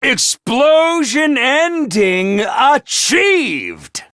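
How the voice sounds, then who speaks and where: A man's voice: very high voice, huge pitch swings, extremely slow, bright, forceful tone, clean tone, no echo, shouting, studio quality, full character voice. A man voicing a manic, mischievous male mascot commander in a game, talking in a hyper, theatrical and gleeful way. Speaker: game character voice lines. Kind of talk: game voice acting.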